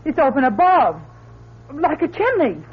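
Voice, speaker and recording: A high, unhurried voice on a dull radio recording: a nervous but brave woman in a suspense drama. She speaks haltingly and with effort, as if scouting somewhere dark. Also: sing-song, slight background noise. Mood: cautious, halting. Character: anxious but plucky woman scouting a dark escape tunnel.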